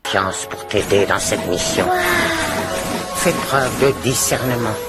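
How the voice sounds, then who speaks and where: An actor voicing a solemn old male mentor in a cartoon, with a grave, measured manner. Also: lowish voice, over loud music.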